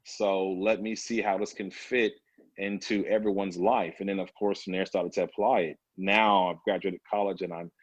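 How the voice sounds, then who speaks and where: A man's voice: low voice, level tone, normal speed, slightly rough, no room sound, poor audio. A man in his thirties, a personal trainer, telling his story as a guest in a casual interview, with many fillers along the way. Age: 30s.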